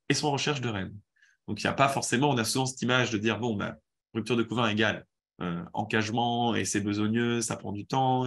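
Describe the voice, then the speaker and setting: A man presents in a webinar with a head cold that leaves him sounding stuffy. His voice sits at an ordinary middle pitch, and he talks in a halting way, often restarting his sentences. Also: fairly bright tone, slightly rough. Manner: halting, many restarts and fillers. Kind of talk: explaining a method in a webinar.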